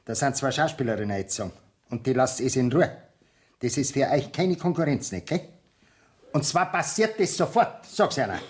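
An actor playing a gruff, distrustful lodging-house keeper with a Bavarian accent and a dry, curt manner in a 1970s radio play. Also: male voice, mid-range voice, expressive, normal speed. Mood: ordering.

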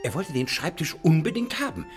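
This is a radio-play father, a middle-aged, money-minded schoolteacher who gets agitated easily. His intonation leaps up and down dramatically as he speaks emphatically. Music sounds clearly behind him. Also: a little high, big pitch swings, brisk, reverberant. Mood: agitated, eager.

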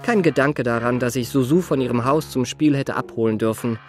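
An actor playing a vain, smooth-tongued young impostor who narrates his adventures in a radio play with ornate, polished, self-satisfied phrasing. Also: medium pitch, brisk, soft background music, natural voice. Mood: self-satisfied, wry.

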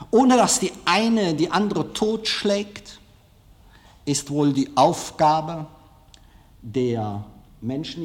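Slow, deliberate man lecturing in a reverberant hall. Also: fairly high pitch. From Germany. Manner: deliberate, rhetorical, with dramatic pauses. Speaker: film director giving a public lecture. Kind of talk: anecdotal public lecture.

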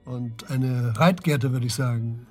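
A man acting a restrained police detective in a naturalistic German radio drama, speaking in a measured, matter-of-fact and slightly hesitant way. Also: mid-range voice, darkish tone, soft background music, lightly acted. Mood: tense, factual. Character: restrained, probing male police detective and old friend.

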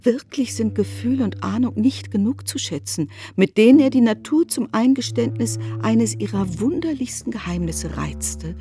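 A woman performing as a composed narrator in a literary radio drama, speaking in a measured, formal and deliberate way. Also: fairly high pitch, lively intonation, mellow, close to an everyday voice.

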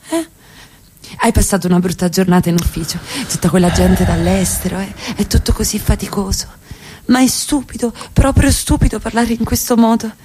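A woman's voice: on the high side, a little mellow, slightly rough. A woman in a radio play acting a provocative middle-class wife who talks in an offhand, deflecting way. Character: evasive, provocative middle-class wife, cool then pleading.

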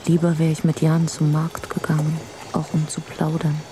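An actress playing a lonely fisherman's wife musing to herself in a radio play, wistful and unhurried, with water sounds behind her. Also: deep voice, completely flat delivery, warm tone, slight background noise, close to an everyday voice. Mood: wistful chatting. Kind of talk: German radio play monologue. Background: trickling water.

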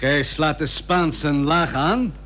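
A man playing a haughty, argumentative admiralty gentleman in a historical radio drama, formal and pressing. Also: fairly high pitch, slow pace. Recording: noisy old radio recording with hum.

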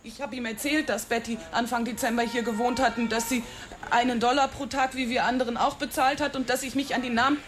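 An actress voicing a jittery young woman who works as a movie extra, speaking high and bright and tripping over her words as she rushes them out in a radio play. she stammers and hurries. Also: steady tone, noisy background, lightly acted. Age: young adult. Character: young woman, aspiring film extra, nervous and chatty. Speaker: witness. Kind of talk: German radio drama acting.

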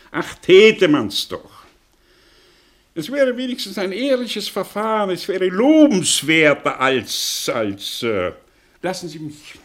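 An actor playing a bitter, sardonic middle-aged professor in a 1960s radio play, declaiming with irony, rhetorical questions and savoured pauses. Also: male voice, mid-range voice. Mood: indignant, bitter.

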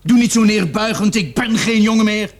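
A young male murder suspect in a radio drama. His voice sits high for a man, and he protests stubbornly, argumentative and full of indignation. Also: moderate pace. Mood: indignant. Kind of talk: radio play acting.

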